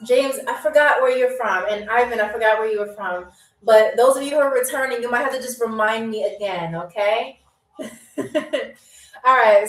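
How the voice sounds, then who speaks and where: A woman's voice: a little high, recorded from a distance. Chatty New York woman hosting live trivia. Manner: chatty, laughs often, reads comments aloud. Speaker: game show host. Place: room in Albania. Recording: echoey room, voice a bit off-mic.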